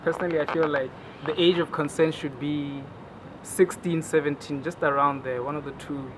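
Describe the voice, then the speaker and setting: Young man, slightly high voice, relaxed and hesitant, clear street traffic behind. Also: normal speed. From Botswana. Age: 20s. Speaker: interviewee. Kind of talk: casual personal opinion.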